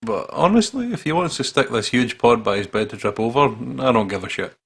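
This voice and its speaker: A man's voice: mid-range voice, very animated, fairly quick, fairly bright tone, slightly rough. A Scottish man co-hosting a game playthrough, reading text aloud and bantering with irreverent swearing. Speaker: gaming channel co-host.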